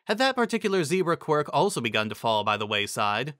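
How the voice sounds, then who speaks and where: A man's voice: a little high, very animated, brisk, a bit bright, no room sound, clean studio sound. A man narrating fantasy fiction as a dramatic reading.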